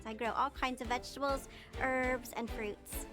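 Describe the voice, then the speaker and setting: A woman in her fifties from California with a squeaky, bubbly voice, talking as a cooking show contestant. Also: fairly even intonation, moderate pace, music in the background. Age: 50s. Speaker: home cook contestant. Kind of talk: reality show talk.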